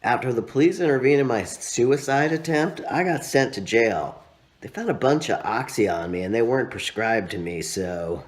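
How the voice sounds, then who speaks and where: A man acts out a relentlessly cheerful junkie in rehab, a guy pushing forty, for an audiobook story. He is teasing and breezy, talks in loose slang, and his pitch jumps around brightly above a low base. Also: expressive, normal speed, close to an everyday voice. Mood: breezy confiding.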